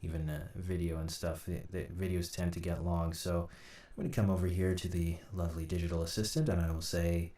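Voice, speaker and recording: A man giving an informal screen-recorded software tutorial, thinking aloud haltingly as he works. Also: sits low, little pitch movement. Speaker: host, audio drama sound designer. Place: indoor room at a computer. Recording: roomy, distant mic, light noise. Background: keyboard typing and mouse clicks, faint noise.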